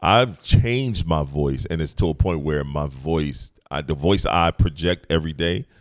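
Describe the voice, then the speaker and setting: A man in his thirties with a deep voice, hosting a podcast in relaxed, agreeable casual chat. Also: measured pace, no echo, studio quality. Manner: relaxed, agreeable, frequent "mm-hmm" and "right". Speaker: podcast host.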